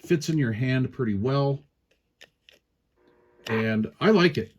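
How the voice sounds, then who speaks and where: A man's voice: medium pitch, expressive, takes it slowly, a little mellow, muffled recording, slight background noise. A man giving a casual, hands-on product review, explaining step by step in an informal, hesitant way. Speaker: radio gear reviewer.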